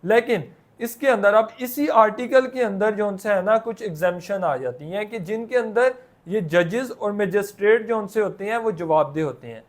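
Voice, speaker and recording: A man's voice: high-pitched, moderate pace, few pauses. A man with a Pakistani accent teaching law, explaining step by step in simple terms and often repeating himself. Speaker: law lecturer.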